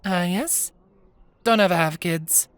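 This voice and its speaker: A man voicing a warm, teasing middle-aged mother who works as a baker, in a folksy, playful manner, close to a dry microphone. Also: sits high, slow, clean tone, no room sound, voice pushed up. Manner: folksy and playful, with a homely lilt. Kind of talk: storybook character voice.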